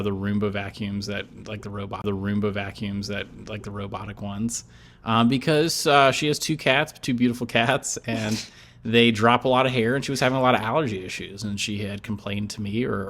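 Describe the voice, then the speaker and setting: Low-voiced young American man, easygoing. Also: fairly bright tone. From United States. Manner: easygoing, hesitant with many ums. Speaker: fiancé. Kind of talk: casual couple chat.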